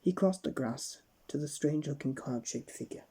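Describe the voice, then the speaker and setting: Low-pitched, expressive woman storyteller. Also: normal speed, slightly rough. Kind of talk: bedtime story read aloud, scripted narration.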